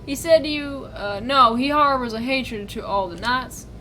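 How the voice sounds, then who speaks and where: A woman's voice: high-pitched, a little slow, bright, forceful tone, slight background noise, poor audio. A woman voicing an earnest, anxious young knight, speaking in a formal, stilted way to their father.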